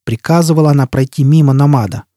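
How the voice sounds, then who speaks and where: A man's voice: a little high, fast, mellow, dry recording, studio quality, natural voice. A man voicing an enraged, desperate, vengeful man in an audiobook, with a harsh, commanding, embittered manner. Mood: insistent. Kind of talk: audiobook character acting.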